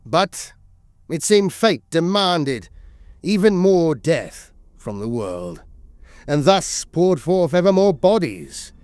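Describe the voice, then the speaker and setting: A man's voice: on the high side, very animated, takes it slowly, a little mellow, no echo. A man narrating scripted lore as a storyteller, with a grave, dramatic delivery.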